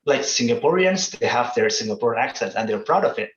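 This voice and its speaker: A man's voice: medium pitch, expressive, fairly quick. The sound is roomy and slightly dull, like an online call. A man with an Indonesian accent explains things informally as a presenter, in a conversational way that often seeks agreement. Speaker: webinar presenter. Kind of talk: casual explanatory online talk with examples.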